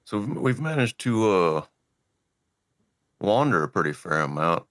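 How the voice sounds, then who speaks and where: Very deep-voiced relaxed man host. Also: a little slow, no echo, studio quality. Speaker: podcast host. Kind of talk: casual conversation and show outro.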